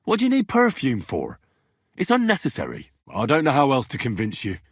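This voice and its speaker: A stubborn young investigator in a video game. His voice is slightly rough and moves freely up and down as he probes with earnest, plain-spoken questions. The sound is dry and close, with no room around it. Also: medium pitch, huge pitch swings, brisk, no echo. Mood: persuading. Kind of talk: video game character dialogue.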